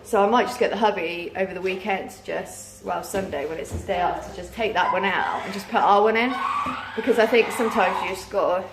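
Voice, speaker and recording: A woman's voice: medium pitch, fairly quick, few pauses. A British woman in her thirties chatting casually and informally in a rambling way, tired and losing her voice with a sore throat. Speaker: vlogger, mother. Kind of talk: casual chat to camera. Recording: roomy echo, clear background noise.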